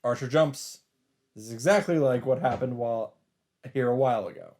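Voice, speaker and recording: A man's voice: mid-range voice, moderate pace, a little mellow. Man reading a visual novel aloud. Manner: casual, sweary asides. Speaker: let's player.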